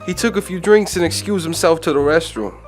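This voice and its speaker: A man's voice: fairly high pitch, brisk, soft background music, natural voice. A man playing a nervous, defensive hotel bartender who stammers and pleads in an amateur radio drama. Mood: nervous, pleading.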